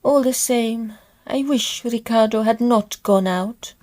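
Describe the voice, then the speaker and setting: A woman's voice: a little high, takes it slowly, a little mellow. An actress playing a genteel, anxious lady of an opera troupe in a period audio drama, speaking with refined formality. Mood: worried, wistful. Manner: refined, old-fashioned formal phrasing.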